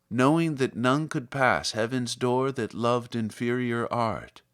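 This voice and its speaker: A man reciting literary poetry in a measured, solemn manner with deliberate phrasing. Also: mid-range voice, no echo, studio quality. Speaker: poetry reader.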